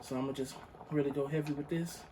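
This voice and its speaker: A man's voice: a little high, steady tone, quick pace, dark tone, slight background noise, rough recording. A young man hosting a cooking video in his living room, chatting casually to viewers with a groggy morning voice. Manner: laid-back, chatty, addresses viewers as y'all. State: morning voice, just woke up. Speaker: cooking channel host. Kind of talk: casual recipe demonstration. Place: living room with a pot on a hot plate.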